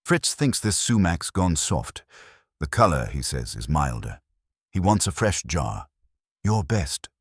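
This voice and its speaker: A man voicing a wry, quick-witted detective's assistant in a scripted story, deadpan. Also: rumbling low, slightly rough, no room sound, studio quality, exaggerated voice. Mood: dry, businesslike.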